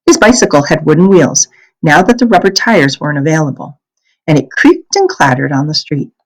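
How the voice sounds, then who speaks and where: A woman with a low voice reads a children's novel aloud. Her delivery is deliberate and expressive, with a very animated, varied pitch as she narrates the story. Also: low-quality recording.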